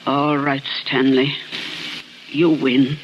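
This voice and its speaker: An actress playing a stern, protective older aunt in a 1940s radio thriller, speaking in a bitter, forceful, dramatic way. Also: female voice, rumbling low, flat delivery, slow pace. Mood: grimly defiant. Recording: dull, hissy 1940s radio recording.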